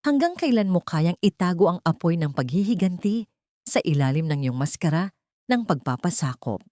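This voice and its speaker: Female narrator for dramatic audio fiction: slightly low pitch, solemn suspenseful delivery, dry close sound. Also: sing-song, normal speed, mellow, no echo. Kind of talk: dramatic scripted story narration.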